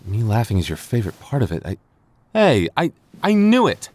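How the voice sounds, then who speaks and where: A man's voice: mid-range voice, huge pitch swings, moderate pace, darkish tone. A young man playing a defensive, secretly smitten tsundere boyfriend in an intimate ASMR roleplay, stammering and easily embarrassed.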